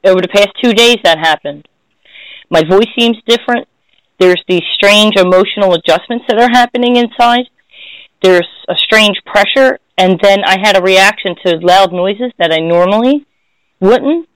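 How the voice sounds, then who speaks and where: A woman's voice: medium pitch, normal speed, dry recording, poor audio. A woman hosting a spiritual talk, speaking in a thoughtful, searching way and often correcting herself. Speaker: host. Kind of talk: reflective casual conversation.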